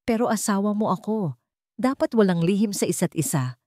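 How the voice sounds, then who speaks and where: A woman's voice: medium pitch, animated, fairly quick, darkish tone, no room sound, clean studio sound, voice pushed up, close to an everyday voice. Woman voicing an innocent, pleading young wife. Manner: trembling, pleading. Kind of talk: dramatic Tagalog storytelling.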